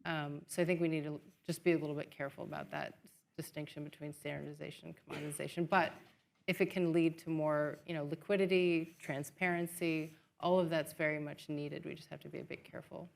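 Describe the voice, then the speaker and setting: A woman speaking as a panelist, measured and hedging, with frequent fillers. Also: deep voice, little pitch movement, vocal fry. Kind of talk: panel discussion remarks.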